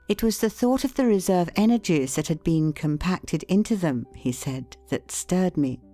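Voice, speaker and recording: A woman reading an audiobook in a measured, formal, deliberate manner. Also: sits low, warm tone. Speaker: audiobook narrator. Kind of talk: scripted audiobook narration.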